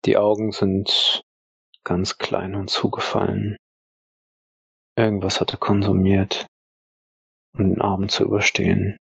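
A man's voice: low-pitched, monotone, a little slow, creaky, pauses often, breathy edge, dry recording, highs cut off. A man narrating his character's actions in an improvised role-play audio drama.

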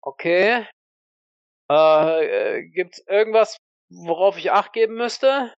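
A man playing a naive, eager rookie soldier in an audio drama, stammering and high-strung. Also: slow, smooth, no room sound, muffled recording. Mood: nervous eager. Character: overexcited, naive rookie soldier, first time in Iraq.

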